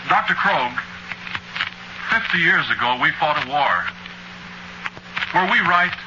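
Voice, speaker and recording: A man's voice: fairly high pitch, expressive, takes it slowly. An actor playing an earnest male reporter putting questions in a 1940s radio drama. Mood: earnest, questioning. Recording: noisy, muffled 1940s radio recording.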